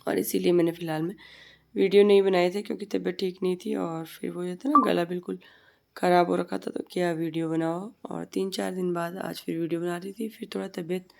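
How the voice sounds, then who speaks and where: Woman in her 30s, throat-sore and husky, rambling chatty voice-over, dark mellow tone. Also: on the low side, flat delivery, a little fast, a little hiss or hum. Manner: chatty, rambling, repeats herself. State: hoarse, heavy voice from a sore throat. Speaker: family vlogger. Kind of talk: casual voice-over narration. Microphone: voice-over recorded separately from the footage.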